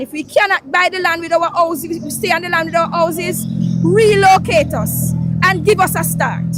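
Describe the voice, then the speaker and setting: A woman, a resident, pleads earnestly for help. Her voice is strikingly high.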